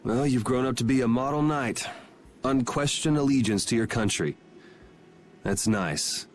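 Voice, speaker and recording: A man's voice: medium pitch, a little slow, a little hiss or hum, lightly acted. An actor playing a brash, cynical young swordsman with a blunt, sarcastic manner in a video game. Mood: bitter. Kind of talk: English video game voice acting.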